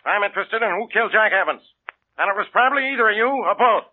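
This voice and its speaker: A man's voice: sits high, lively intonation, quick pace. An actor playing a blunt, impatient male police inspector, in 1940s radio drama style. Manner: blunt and gruff. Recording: tinny old radio broadcast.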